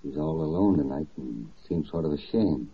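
A man's voice: rumbling low, expressive, moderate pace, slightly rough, heavily acted. A man playing a kindly, neighbourly husband in a 1940s radio drama, mild and conversational. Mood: concerned, mild. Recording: muffled old 1940s radio recording.

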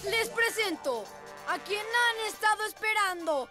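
A woman's voice: very high voice, expressive, measured pace. A woman voicing an eager, loyal little boy rescue motorbike in a children's cartoon, earnest and enthusiastic. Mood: excited. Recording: music playing behind the voice.

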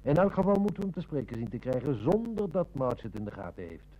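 An actor playing a shrewd, determined police inspector in a radio drama, matter-of-fact and commanding. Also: male voice, mid-range voice, sing-song, fast, dark tone, close to an everyday voice. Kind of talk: Dutch radio drama acting. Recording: noisy old radio recording with hum.

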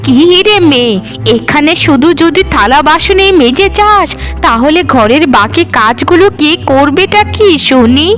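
A woman's voice: sits high, lively intonation, measured pace, fairly bright tone, soft background music. A woman voicing a cruel, domineering middle-aged mother-in-law in a cartoon, scolding and threatening. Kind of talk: cartoon voice acting.

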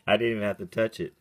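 A man casually testing a CB radio at his workbench in short, clipped calls, muttering to himself as he goes. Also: lowish voice. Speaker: CB radio hobbyist testing a vintage radio. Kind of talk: casual radio check chat. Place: cluttered indoor radio workbench. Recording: clearly noisy handheld recording with clicks.

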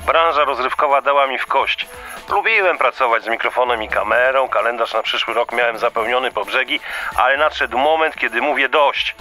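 Man playing bright-voiced, aggrieved talkative reindeer. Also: mid-range voice, fairly quick, faint music behind, close to an everyday voice. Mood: weary, indignant. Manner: chatty, self-important, grumbling. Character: talkative burnt-out male reindeer, aggrieved ex-star. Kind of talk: radio play as a phone-in caller.

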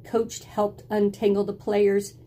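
A middle-aged woman reading a picture book aloud in an expressive, deliberate storytelling style, indoors at home. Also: medium pitch. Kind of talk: expressive children's read-aloud. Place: small indoor room at home with bookshelves.

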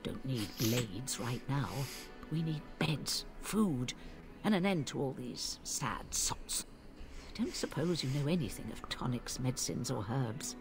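A woman's voice: extremely low pitch, lively intonation, a little slow, heavily acted. An actress playing a blunt, weary old woman, a village healer in a fantasy video game. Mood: weary, gruff. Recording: music and ambience behind.

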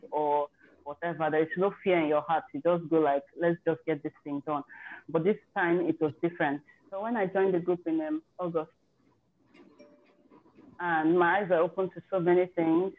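A woman speaking over a video call, her voice thin and phone-like. She tells her story in a candid, chatty way, circling back to fix her wording as she goes. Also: fairly low pitch. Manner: chatty and candid, with self-corrections. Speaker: participant sharing her exam success story.

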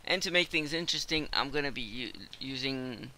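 A man's voice: medium pitch, a little fast, noisy background. A man with a cold, casually commenting on his own gameplay while he plays, rambling with lots of fillers. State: hoarse from a cold.

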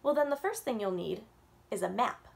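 A woman voicing a kind, patient father in a picture book, speaking to his daughter gently and encouragingly. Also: medium pitch, animated, normal speed, vocal fry. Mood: gentle.

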